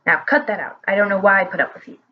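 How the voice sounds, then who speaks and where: A woman's voice: mid-range voice, racing, muffled recording, pitched below the performer's own voice, natural voice. A woman voicing a grumpy, pudgy old male wizard who grumbles and whines. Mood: grumbling. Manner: grumbling, whiny.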